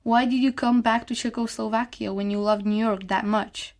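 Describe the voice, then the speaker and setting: A woman's voice: on the high side, fairly even intonation, moderate pace, natural voice. A female performer playing a curious, teasing young daughter, asking direct questions in a radio play. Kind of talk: short radio play dialogue.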